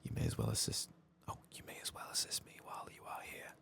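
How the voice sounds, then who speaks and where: A man doing soft-spoken, whispered ASMR game commentary in a hushed, breathy, gravelly voice, murmuring without hurry. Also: fast. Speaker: ASMR game playthrough host.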